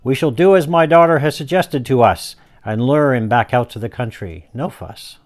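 A man's voice: mid-range voice, moderate pace, natural voice. A man voicing a protective, commanding baron father in a rhyming storybook tale.

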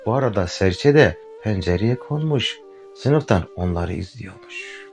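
A man gently telling a fairy tale to a child, addressing the listener directly. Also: on the low side, lively intonation, unhurried. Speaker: storyteller. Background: faint flute music.

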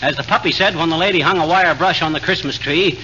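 An actor playing a folksy, blustering husband full of wisecracks in a 1940s radio comedy. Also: male voice, on the high side, fast talker, natural voice. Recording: noisy, muffled 1940s radio recording.

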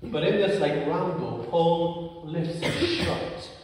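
A young clergyman from Northern Ireland who has a stammer, preaching an earnest, personal sermon with slow, weighty pauses in a church sanctuary. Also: a little high, noisy background. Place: large church sanctuary, indoors. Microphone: far camera. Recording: echoey church from far off.

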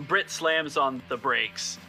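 A man working as game master, narrating improvised scenes descriptively and a little haltingly. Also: medium pitch, gravelly, pushed and bright, soft background music, low-quality recording.

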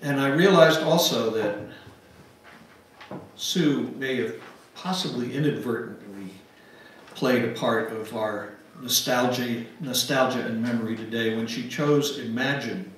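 A man in his seventies giving a reflective church address in a measured way, with long pauses. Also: medium pitch. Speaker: guest giving closing words. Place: church sanctuary, at pulpit. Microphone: gooseneck pulpit mic, picked up by far camera. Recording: very echoey church, distant mic, background noise.

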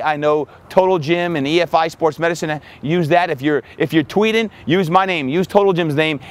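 A man in his forties, a fitness coach, giving energetic marketing tips to the camera outdoors on a lapel mic. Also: fairly high pitch, smooth. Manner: energetic, upbeat, talks with his hands. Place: outdoors by a building in sunshine.

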